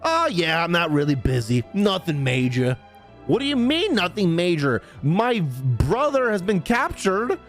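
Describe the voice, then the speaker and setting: A woman streaming a game with casual, expressive, exclamatory and dramatic commentary. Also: on the low side.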